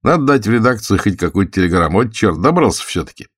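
A man's voice: on the low side, sing-song, fast talker, fairly bright tone, no echo, studio quality, pitched above the performer's own voice. Casting: male narrator as plain-spoken frontline newsman, good-natured grumbler, colloquial teasing, voice pushed up, wartime audiobook. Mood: brisk. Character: gruff, grumbling, good-natured male army newspaper correspondent.

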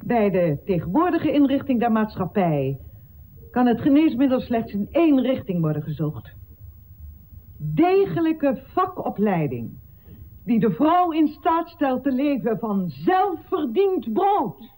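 Earnest female physician, radio drama oratory, lively intonation, warm soft-edged tone, formal and impassioned. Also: fairly high pitch, measured pace, slight background noise, poor audio. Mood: earnest, declaiming. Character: earnest, principled pioneering woman physician giving speeches.

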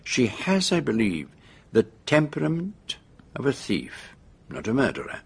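A man's voice: medium pitch, huge pitch swings, a little slow, gravelly, slightly rough, exaggerated voice. An actor playing an older male detective in a radio drama, formal and courteous, with a French-speaking European accent and polite hesitations. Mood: shrewd, deliberate. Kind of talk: BBC radio drama acting.

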